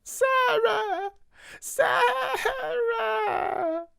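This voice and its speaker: A man's voice: extremely high pitch, extremely slow, vocal fry, over a noisy crowd, pitched above the performer's own voice, exaggerated voice. A man reading a storybook, voicing a small spoiled girl who wails and sobs in a whiny, tearful voice. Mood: wailing. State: sobbing, wailing.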